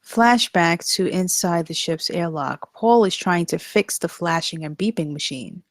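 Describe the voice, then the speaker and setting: A woman's voice: fairly low pitch, measured pace, no echo, clean studio sound. A woman reading stage directions as narrator in a live scripted table read.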